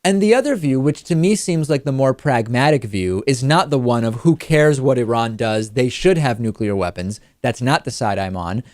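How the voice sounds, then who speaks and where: A man with a medium-pitched voice delivers political commentary as a talk show host. He explains in a measured way, qualifying as he goes. The sound is dry and close on a studio microphone. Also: expressive, a little mellow, dry recording. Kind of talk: news commentary and analysis.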